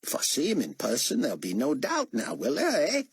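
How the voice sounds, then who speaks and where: A man's voice: fairly high pitch, fairly quick, gravelly, husky, no room sound, voice pushed up, heavily acted. A man voicing an overeager, rambling male motor pool chief with an Australian accent in an audiobook. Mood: excited. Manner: rambling, excited, keeps talking. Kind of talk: audiobook character voice.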